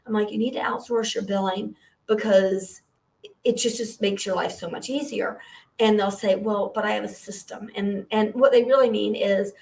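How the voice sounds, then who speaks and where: A middle-aged woman with a scratchy, hoarse voice from being sick, co-hosting a casual live podcast and laughing often as she tells stories. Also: mid-range voice, steady tone, normal speed, darkish tone, far from the mic. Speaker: podcast co-host.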